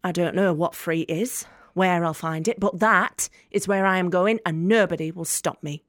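A woman's voice: on the low side, normal speed, dry recording, studio quality, natural voice. Actress as a sardonic young Northern English woman. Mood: defiant, determined. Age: young adult. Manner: blunt, sardonic, sweary. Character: defiant, bitter young British woman student, sharp-tongued and sardonic. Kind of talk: dramatic monologue in an audio play.

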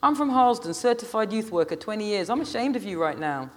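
A man's voice: sits high, lively intonation, fast, a bit bright, voice pushed up. A man voicing a formidable middle-aged Rasta woman, an indignant, emphatic scolder in an audiobook story. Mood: scolding, indignant.

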